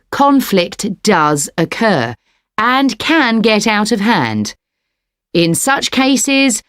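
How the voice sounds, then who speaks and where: A woman gives a scripted academic lecture at an unhurried pace, with varied, lively pitch movement. Her delivery is articulate and measured, recorded close and dry. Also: no room sound. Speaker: lecturer in a listening test recording.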